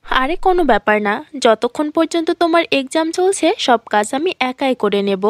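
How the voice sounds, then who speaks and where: A woman's voice: high voice, lively intonation, normal speed, no echo, studio quality. A woman voicing a kind, caring aunt in a cartoon fairy tale, speaking earnestly. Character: kind, caring aunt, gentle wronged wife.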